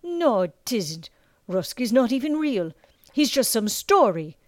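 A woman's voice: on the high side, sing-song, unhurried, a little mellow, no room sound, voice pushed up. A woman voicing a nervous young male sailor who stammers and gets defensive.

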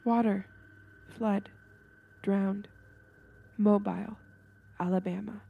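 A woman voicing a frightened eight-year-old ghost girl in a scary story, urgent, halting and pleading. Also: medium pitch, fairly even intonation, gravelly, slightly rough, narrow, tinny audio, heavily acted. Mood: grave, halting.

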